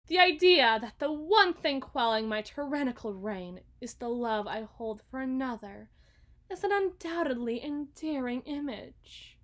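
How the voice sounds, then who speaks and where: A woman playing a haughty, battle-hardened evil queen in an ASMR roleplay, speaking formally and deliberately with dramatic pauses. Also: a little high, natural voice. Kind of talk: ASMR roleplay monologue, scripted.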